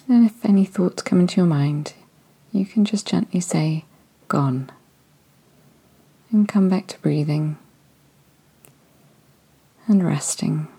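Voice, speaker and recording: A woman leads a guided meditation in a soft, soothing manner, often sinking into a whisper. Her voice sits low, with a mellow, dark tone and a breathy edge. She takes her time, speaking very slowly. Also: rough recording. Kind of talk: guided body-scan meditation.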